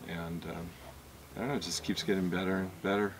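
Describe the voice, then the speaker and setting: A middle-aged man, a winemaker, tells his story in a relaxed, meandering way on an outdoor vineyard patio, picked up by a camera mic a few metres off. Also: low voice, fairly quick, vocal fry, noisy background. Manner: easygoing, meandering, with fillers and laughs.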